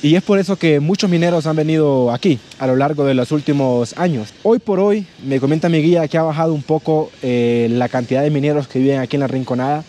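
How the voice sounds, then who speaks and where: A man's voice: fairly high pitch, warm tone. A man with a Honduran accent narrating his trip to camera outdoors in a mountain mining town, explaining what viewers see while short of breath from the altitude. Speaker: travel vlogger host. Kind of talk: casual travel narration to camera.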